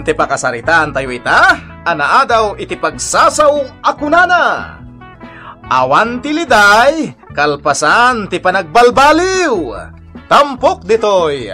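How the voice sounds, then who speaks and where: A man announces a radio drama with an Ilocano accent. His voice is very high and hugely animated, over prominent music. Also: slow. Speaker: programme announcer. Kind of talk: dramatic scripted radio introduction.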